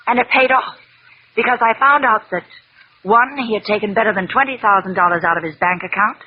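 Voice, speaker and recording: A woman's voice: medium pitch, fairly quick, lightly acted. A dull, faintly noisy vintage radio recording of a defiant noir dame, played by an actress. She is slippery and dramatic, stressing her words like a crime serial femme fatale. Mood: earnest, defensive. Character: slippery, defiant female liar, a gangster's girlfriend. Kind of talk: 1940s-50s radio drama acting.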